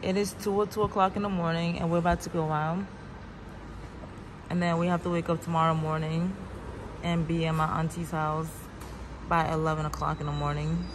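A young woman from Guinea-Bissau chatting playfully to her viewers on a travel vlog, her voice a bit hoarse. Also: low-pitched, flat delivery, fairly quick, noisy background. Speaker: travel vlogger. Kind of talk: casual vlog chat to camera. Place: hotel room and lobby at night. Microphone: handheld phone camera.

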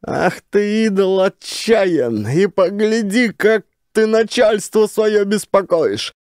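A man's voice: high-pitched, expressive, takes it slowly, a little mellow, dry recording, studio quality, voice pushed up. A man voicing an indignant, blustering village official with a rustic, scolding manner in an audio story. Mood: furious scolding. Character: blustering, indignant male village elder in authority.

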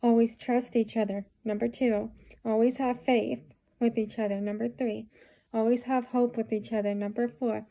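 Hoarse young woman rambling to camera. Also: on the high side, little pitch movement, normal speed, mellow. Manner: rambling advice with many fillers. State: hoarse from a cold. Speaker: vlogger. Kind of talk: casual talk to camera. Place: small room indoors. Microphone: phone camera at close range.

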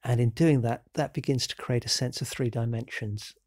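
A man with a cold, narrating a real-time painting demonstration in a relaxed, explanatory way with frequent ums and self-corrections. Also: medium pitch, moderate pace, no room sound, clean studio sound. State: has a cold. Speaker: painting instructor.

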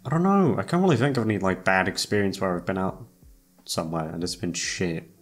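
A young man with a fairly low voice rambles casually to his audience over background music. He hesitates, doubles back and puts himself down, with plenty of swearing. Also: brisk. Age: young adult. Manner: hesitant, self-deprecating, sweary, trails off. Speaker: YouTube voice actor and channel host. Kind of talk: casual rambling chat to listeners. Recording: music playing behind the voice.

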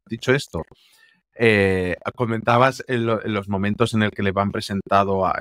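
A man's voice: fairly low pitch, fairly bright tone, no room sound. A man co-hosting a casual TV series review chat over a video call, talking in an amused, conversational way and often restarting his sentences. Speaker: TV series critic co-host. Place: home room, remote call. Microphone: headset and desk mic over video call.

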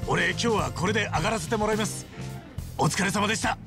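An actor playing an earnest, hot-tempered former yakuza hero in a video game, with rough but decent speech. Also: male voice, sits high, quick pace, music in the background, close to an everyday voice. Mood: humble, polite. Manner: rough slangy speech, polite to workmates. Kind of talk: dramatic video game voice acting.

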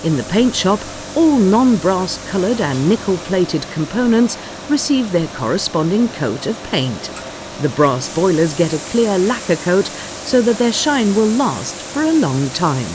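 A narrator reading a scripted factory documentary in a measured, explanatory way. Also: medium pitch, sing-song, background noise. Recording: music bed behind narration. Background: background music.

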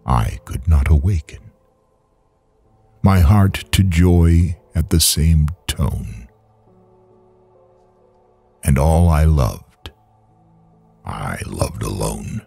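A man with a gravelly, raspy voice reciting solemn poetry dramatically, brooding, in halting phrases with long pauses. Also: a bass voice, lively intonation, mellow, faint music behind. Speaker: poetry reader.

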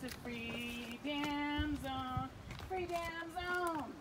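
A woman's voice: high-pitched, fairly even intonation, extremely slow. A middle-aged woman, a mom on a family hike, chatting playfully and goofing around outdoors. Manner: playful, silly, bursts into song. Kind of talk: casual chat. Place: outdoors on a canyon hiking trail. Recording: noisy outdoor phone audio.

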